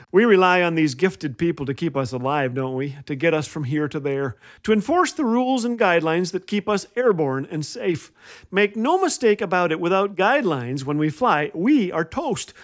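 A man hosting a humorous inspirational radio show, telling scripted comic stories in a wry, playful way. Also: high-pitched. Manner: wry, playful, acts out quoted lines. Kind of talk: scripted comedic storytelling monologue.

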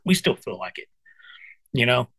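A man's voice: medium pitch, sing-song, normal speed, pushed and bright, breathy edge, no echo, low-quality recording. A man of fifty, a guest musician chatting casually and earnestly, calling his host brother and dude. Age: 50s. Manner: earnest, encouraging, says brother and dude a lot. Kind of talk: casual chat.

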